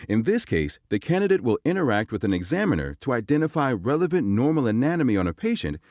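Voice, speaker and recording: A man's voice: mid-range voice, very animated, fast talker, a little mellow, no echo, clean studio sound. A man reading scripted instructional narration. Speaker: narrator of exam explainer.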